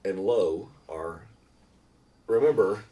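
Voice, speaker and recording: Casual pastor host: middle-aged man, lowish voice, rambling and informal, recorded off-mic with slight noise. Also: animated, slow pace, dark tone. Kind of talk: casual conversational chat about faith. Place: small room with foam panels. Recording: distant mic, room noise.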